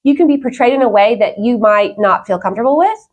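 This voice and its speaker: A woman's voice: on the high side, lively intonation, fast talker, a little mellow, lo-fi sound. A woman guest on a talk show, telling her own story in an earnest, emphatic, conversational way. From Wales.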